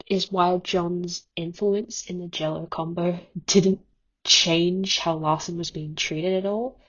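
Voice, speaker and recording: A woman's voice: lowish voice, breathy edge. A woman giving prepared commentary as a listener's voice submission to a podcast. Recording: dull, lo-fi voice message.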